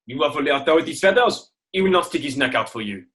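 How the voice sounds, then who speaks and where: A man playing an excitable, worldly French prisoner with a French accent, theatrical, in an irreverent radio play. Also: a little high, fast. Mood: knowing, cautioning. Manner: theatrical, peppered with French exclamations.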